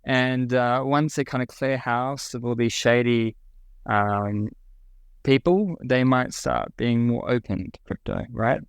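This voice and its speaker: A man's voice: medium pitch, takes it slowly, clear, resonant tone, no room sound. A man from New Zealand with a New Zealand accent, a podcast guest answering questions casually, with many fillers and restarts.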